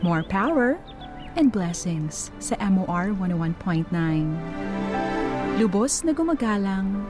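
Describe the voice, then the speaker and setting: A woman's voice: medium pitch, big pitch swings, slow, long pauses. A woman with a Filipino accent, speaking as a radio host on a story and letter show. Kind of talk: letter narration and casual radio banter. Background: music behind the voice.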